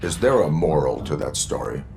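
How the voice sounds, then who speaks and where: Deep-voiced stoic cyborg cop. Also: male voice, creaky, faint music behind, exaggerated voice. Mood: deadpan. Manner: formal, deadpan, measured instructing. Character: stoic male cyborg police officer mentoring a rookie. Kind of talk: video game voice acting.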